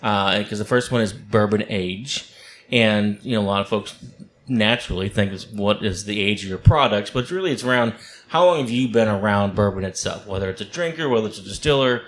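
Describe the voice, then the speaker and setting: A man hosts a podcast. He sounds upbeat and chatty, full of fillers and quick restarts. Faint room noise runs behind him. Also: lowish voice, a bit bright. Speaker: podcast host. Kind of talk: casual podcast hosting.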